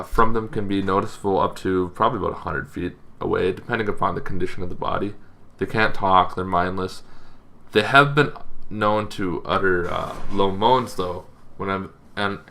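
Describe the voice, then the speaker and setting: A man hosting a tabletop gaming channel, giving a casual, informal explainer with halting, loosely phrased delivery. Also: low voice, gravelly, pushed and bright.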